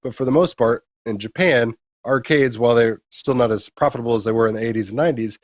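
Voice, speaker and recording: A man's voice: medium pitch, fast talker, dry recording. American man hosting gaming news, dry close sound. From United States. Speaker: co-host of a gaming news show. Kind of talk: casual news discussion.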